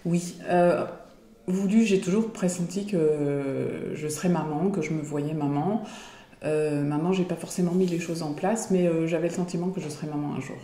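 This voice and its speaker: A woman's voice: sits low, steady tone, normal speed, dark tone, echoey room. A woman in her forties chatting in an interview, hesitating often as she talks. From Belgium. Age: 40s. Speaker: guest. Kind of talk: casual interview chat.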